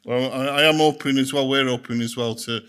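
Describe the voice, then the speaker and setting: A man's voice: medium pitch, steady tone, fairly quick, fairly bright tone, clear, resonant tone. A man chairing a conference, introducing the next speaker with hesitant, repeated words.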